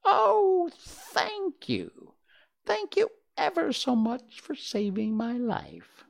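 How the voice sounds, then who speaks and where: A man voicing a tiny, indignant female field mouse queen in a squeaky voice, reading a storybook aloud. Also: sing-song, extremely slow, gravelly, heavily acted. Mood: grateful.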